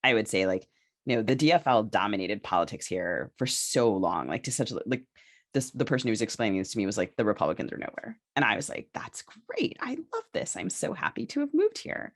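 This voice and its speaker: A woman speaks as a podcast co-host in a casual, conversational way. She circles through her thoughts in halting phrases, often dropping in "like" as she goes. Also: a bass voice, very animated. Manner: hesitant phrasing with frequent "like" and "right". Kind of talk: casual discussion.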